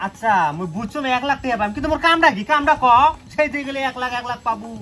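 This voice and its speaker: A man's voice: extremely high pitch, very fast. A man playing an exasperated, stubbornly questioning shopkeeper in a comedy sketch, speaking a northern Bengali dialect and repeating himself. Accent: northern Bengali regional dialect. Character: exasperated, dogged small shopkeeper man being recruited. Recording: music laid under the dialogue.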